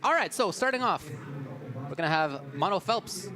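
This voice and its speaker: A man commentating a live fighting-game tournament, enthusiastic and analytical, speaking in quick bursts. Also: high-pitched, sing-song, crowd noise. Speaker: esports commentator.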